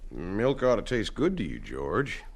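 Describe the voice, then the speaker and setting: A man's voice: fairly low pitch, wildly swooping pitch, measured pace, vocal fry, noisy background, exaggerated voice. An actor in an audio drama playing a kindly, talkative, plain-spoken rural foreman with a folksy manner. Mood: chatty, genial. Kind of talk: dramatized radio play acting.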